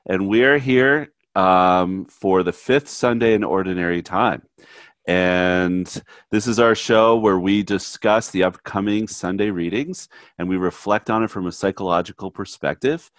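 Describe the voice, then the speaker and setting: Stuffy low-voiced man hosting a podcast. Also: a little slow, no room sound, clean studio sound. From United States. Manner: relaxed, laughs often, many fillers. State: stuffy from a cold. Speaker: podcast co-host, therapist. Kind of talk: casual conversational podcast.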